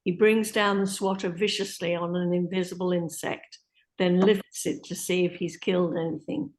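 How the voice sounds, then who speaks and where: A woman's voice: lowish voice, flat delivery, moderate pace. A woman reading stage directions as narrator in a scripted play reading.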